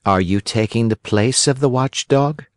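A man voicing a sly, scheming male weasel thief who talks in a smooth, coaxing way in a storybook reading. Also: medium pitch, moderate pace, darkish tone, dry recording, lightly acted.

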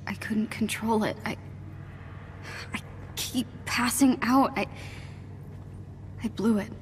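A woman's voice: fairly high pitch, fairly even intonation, slow pace, slight background noise. A woman playing a self-doubting teenage girl superhero in a video game, hesitant and apologetic. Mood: dejected. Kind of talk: video game cutscene acting.

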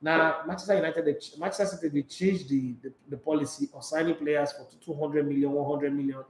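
A middle-aged Nigerian man hosting a sports radio talk show, animated and emphatic, mixing pidgin into his English. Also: on the high side, brisk, slight background noise. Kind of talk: live sports talk show, reading viewer comments. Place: small radio studio. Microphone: studio microphone with headphones. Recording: echoey studio room.

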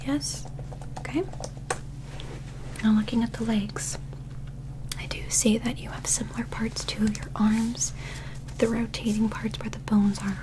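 A young woman playing a calm, curious alien medical examiner in a gentle, methodical ASMR roleplay, whispering softly and breathily. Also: a little high, natural voice, rough recording. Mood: calm, clinical. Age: young adult. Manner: gentle, slow, methodical, clinical. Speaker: ASMR performer.

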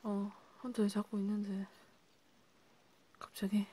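A woman playing a caring vampire healer in an ASMR roleplay, speaking in a hushed, unhurried murmur with long pauses. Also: mid-range voice, completely flat delivery, husky. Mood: hushed, concerned. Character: caring female vampire healer treating a wounded vampire. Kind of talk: ASMR roleplay, soft and whispered.

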